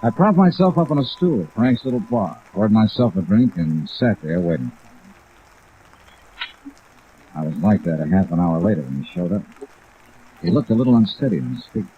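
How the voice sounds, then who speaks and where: Man acting a hardboiled, low-voiced radio detective. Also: lively intonation, fairly quick, narrow, tinny audio, lightly acted. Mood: terse narrating. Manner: sardonic, clipped, unflappable. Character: tough, wry, hardboiled male insurance investigator. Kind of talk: 1950s radio detective acting and first-person narration. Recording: band-limited old radio recording, rain noise.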